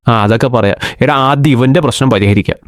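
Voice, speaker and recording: A man's voice: mid-range voice, quick pace, music in the background, lightly acted. A young man from Kerala voicing a bossy, scheming cartoon friend who lectures the others.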